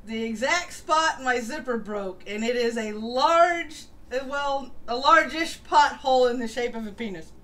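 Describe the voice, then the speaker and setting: High-voiced woman, irreverent and sweary. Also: a little slow, few pauses, a bit bright. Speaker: co-host of a live stream show. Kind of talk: casual chat, reading articles and memes aloud.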